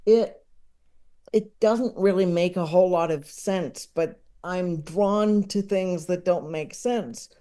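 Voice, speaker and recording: A podcast guest talking casually and reflectively, in a halting, thoughtful way, repeating words while searching for the right phrasing. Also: medium pitch, warm tone. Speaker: podcast guest, comedy enthusiast. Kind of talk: casual reflective interview talk.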